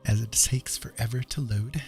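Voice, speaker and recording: A man hosting a casual livestream as he paints, talking in an easygoing, halting, rambling way. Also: mid-range voice, creaky, a little mellow. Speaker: streaming digital artist and host. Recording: music playing under the voice. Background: background music.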